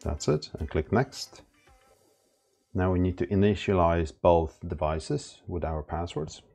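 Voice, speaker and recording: A man narrating a casual step-by-step product setup tutorial in a plain, halting instructional way. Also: deep voice, lively intonation, creaky, darkish tone. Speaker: product demonstrator. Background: background music.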